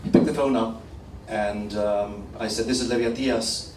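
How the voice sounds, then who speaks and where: A man with a head cold and a nasal voice giving a formal, measured prepared address at a public event. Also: on the low side, fairly even intonation, a little mellow, reverberant, distant mic, a little hiss or hum, low-quality recording. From Gibraltar. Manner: slow, measured, declamatory delivery. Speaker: speaker at a community event.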